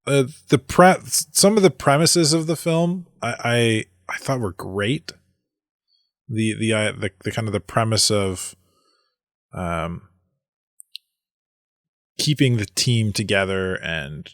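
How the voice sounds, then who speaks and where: A man's voice: lowish voice, slow, fairly bright tone, dry recording, clean studio sound. A man co-hosting a casual movie review podcast, talking hesitantly with many restarts. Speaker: podcast co-host.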